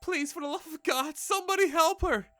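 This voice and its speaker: A man's voice: very high-pitched, brisk, sharp tone, no room sound, faint music behind, voice pushed up. A man playing a desperate young boy protecting his sister, pleading and urgent, in a horror story.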